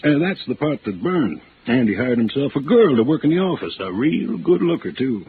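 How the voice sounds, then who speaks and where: A man's voice: medium pitch, very animated, normal speed. A stocky insurance agent in his late fifties, hearty and talkative in a homespun way. It is radio drama acting of the old school. The sound is narrow and boxy with slight hiss. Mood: folksy, gossipy. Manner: folksy, hearty, garrulous. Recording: band-limited old radio, faint noise.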